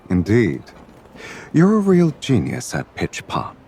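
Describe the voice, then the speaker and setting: A man playing a suave, teasing, self-assured love interest in a video game, speaking in a smooth, wry manner. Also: medium pitch, huge pitch swings, very slow, a little mellow, faint music behind, heavily acted. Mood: amused, teasing. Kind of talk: video game cutscene voice acting.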